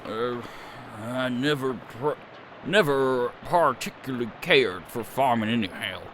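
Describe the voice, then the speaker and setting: A man's voice: mid-range voice, unhurried, fairly bright tone, slight background noise, pitched below the performer's own voice, close to an everyday voice, poor audio. A man voicing an aging, homely male farmer who talks in a rambling country drawl. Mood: folksy.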